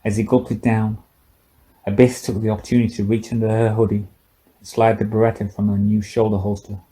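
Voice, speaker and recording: A man's voice: fairly low pitch, flat delivery, fairly quick, dark tone, rough recording. A man narrating scripted fiction for an audiobook.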